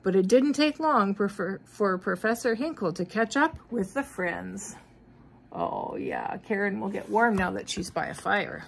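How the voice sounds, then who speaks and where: An older woman reads a picture book to small children. Her voice is a little husky with a cold and rises and falls animatedly. She breaks off for gentle questions to her listeners. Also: medium pitch, a little slow. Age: middle-aged or older. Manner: expressive, questioning asides to young listeners. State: mild cold. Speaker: grandmother reading to grandchildren. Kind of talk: children's storybook read-aloud with asides.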